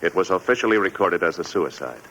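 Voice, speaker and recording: A man announcing in a formal, measured, solemn scripted 1950s radio style. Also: low-pitched, animated. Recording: muffled old radio, loud music.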